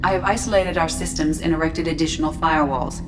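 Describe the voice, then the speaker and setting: A woman voicing a calm, dispassionate female ship artificial intelligence in a video game, speaking in measured, formal sentences. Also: sits low, close to an everyday voice. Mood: calm, clinical. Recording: slightly distant, faint music behind.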